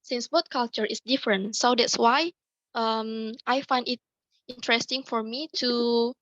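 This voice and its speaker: A woman's voice: fairly high pitch, steady tone, measured pace, a bit bright, no room sound, dull audio. A young woman presents her student research. She speaks hesitantly, often repeating and restarting words. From Indonesia. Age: young adult. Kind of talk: academic presentation. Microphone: video call.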